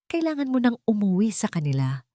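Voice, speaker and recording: A woman's voice: medium pitch, very animated, a little fast, mellow, dry recording, clean studio sound, pitched above the performer's own voice, lightly acted. A woman voicing a cold, steely female CEO, firm and without emotion, in a romance audio drama.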